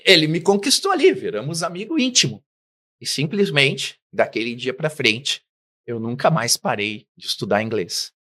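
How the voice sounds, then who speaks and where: A middle-aged man, a business guest in an interview, telling his life story with lively acted-out dialogue. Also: medium pitch, moderate pace. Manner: animated storyteller, acts out quoted dialogue.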